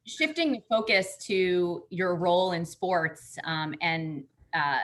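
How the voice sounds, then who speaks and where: A woman's voice: sits low, fairly bright tone, a little hiss or hum. A woman hosting an interview, asking questions in a polished, complimentary way with some fillers. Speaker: interviewer, host.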